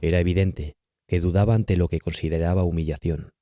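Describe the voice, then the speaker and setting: Deep, mellow Castilian man narrating. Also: steady tone, fairly quick, dry recording. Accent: Castilian Spanish. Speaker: audiobook narrator. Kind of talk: scripted literary narration of a horror tale. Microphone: close, dry studio-style microphone.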